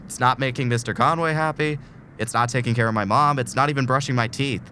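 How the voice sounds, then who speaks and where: A man's voice: medium pitch, fairly even intonation, fast, bright, forceful tone, slight background noise, natural voice. A man playing a weary, earnest, anguished man trapped in an ordeal, in an emotional audio drama style. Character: anguished, principled man trapped in time loop.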